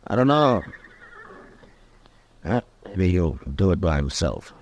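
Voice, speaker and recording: A weary lawman of the American frontier in a radio western. His voice sits very low. He speaks with an American accent, terse and dry, with steady authority. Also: takes it slowly, lo-fi sound, a little hiss or hum, exaggerated voice. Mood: measured. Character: stern, weary, authoritative male frontier US marshal. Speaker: actor playing the lead lawman and narrator. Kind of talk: old-time radio western acting and narration.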